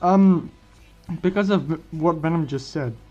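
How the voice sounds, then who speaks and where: A man with a slightly high voice narrates a what-if fan story in a halting, informal way. He doubles back on phrases as he goes. The recording is a bit dull and lo-fi, with music underneath. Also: darkish tone. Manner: halting, repeats and restarts phrases. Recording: dull lo-fi mic, music behind. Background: background music.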